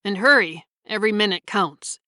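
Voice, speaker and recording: A woman voicing a brisk, commanding male surgeon in a short story reading. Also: medium pitch, no room sound, clean studio sound, close to an everyday voice. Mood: urgent. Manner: brisk, commanding, later gentle. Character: calm authoritative male surgeon.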